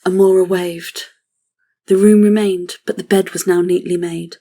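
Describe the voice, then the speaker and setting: Female narrator for scripted fantasy, slightly low register, very level and solemn. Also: flat delivery, measured pace, dark tone.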